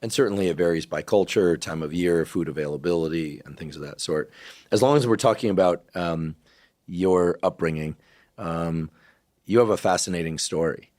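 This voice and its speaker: A man hosting a podcast interview, asking questions in a calm, measured way. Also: deep voice, no room sound, clean studio sound. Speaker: podcast host.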